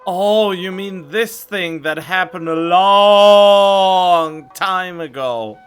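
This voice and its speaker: A man's voice: high voice, drawn-out words, smooth. A man giving casual gameplay commentary as a streamer, musing aloud informally into a studio microphone. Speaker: gaming streamer. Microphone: studio condenser microphone. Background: game music.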